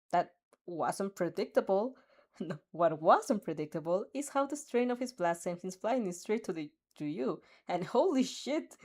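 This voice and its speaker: A young woman reading a second-person fanfic aloud, expressive and giggling at her own slips. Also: medium pitch. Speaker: fanfic reader.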